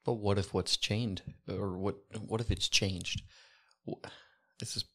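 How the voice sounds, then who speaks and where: A man's voice: lowish voice, steady tone, measured pace. A man playing a tabletop game, chatting casually and speaking in character. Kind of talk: casual in-character roleplay and chat.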